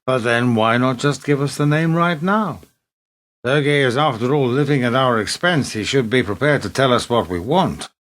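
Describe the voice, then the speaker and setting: A man playing a reserved, questioning British spy in a radio play, measured, polite and probing. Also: mid-range voice, a bit bright, natural voice. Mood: questioning, disapproving.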